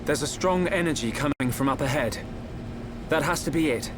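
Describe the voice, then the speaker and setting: A man playing an earnest, troubled, gentle young hero in a video game, with a British accent and a hesitant manner. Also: fairly high pitch, moderate pace, noisy background, close to an everyday voice. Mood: grave, uneasy. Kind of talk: video game cutscene voice acting. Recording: game music behind the voice. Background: music.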